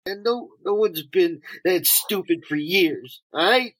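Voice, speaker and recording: A man's voice: high-pitched, unhurried, a bit bright, low-quality recording. A man playing a desperate, bitter homeless drug addict in an audio drama, agitated and stammering, swearing and sarcastic. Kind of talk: naturalistic two-person audio drama acting.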